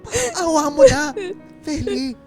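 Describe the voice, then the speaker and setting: A man playing a heartbroken, self-sacrificing husband and father in a radio drama, with a Filipino accent and a halting, emotional delivery. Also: very high-pitched, sing-song, exaggerated voice. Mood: desperate pleading. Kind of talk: Tagalog radio drama acting. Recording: music under the voice.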